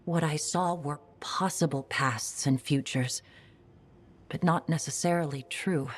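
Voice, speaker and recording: An actress playing a gentle, confused woman in video game dialogue who speaks hesitantly about uncertain memories. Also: deep voice, slight background noise. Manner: hesitant, halting, soft.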